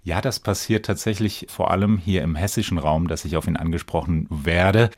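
Low-pitched man, reflective, conversational. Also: steady tone, normal speed, no room sound, clean studio sound. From Bensheim, Hesse, Germany. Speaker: interview guest, stage actor. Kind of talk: casual conversational interview.

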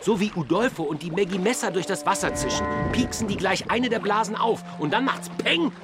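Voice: mid-range voice, animated, a little fast, lightly acted. An actor playing a sensible, cautious young pirate shipmate in a children's radio play, explaining plainly. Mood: cautious, firm. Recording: music behind.

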